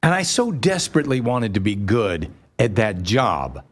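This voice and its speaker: Animated male morning radio host. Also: lowish voice, moderate pace. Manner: folksy, animated anecdote telling with acted-out dialogue. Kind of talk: conversational live talk radio storytelling. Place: on a stage before a live studio audience.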